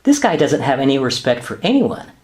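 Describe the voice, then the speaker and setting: An actor playing a hesitant, exasperated government office worker and husband in a sitcom-style radio play, with a wry manner. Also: male voice, mid-range voice, very animated, a little fast, natural voice. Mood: irritated. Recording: roomy, echoey sound.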